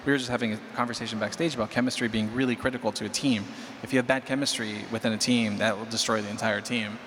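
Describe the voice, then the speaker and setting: A man's voice: on the low side, steady tone, quick pace, a bit bright. A man on a conference panel, talking casually and a bit rambling, with some laughter, into a handheld mic. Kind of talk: panel discussion. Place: large conference hall stage. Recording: hall reverb, clear crowd-room noise.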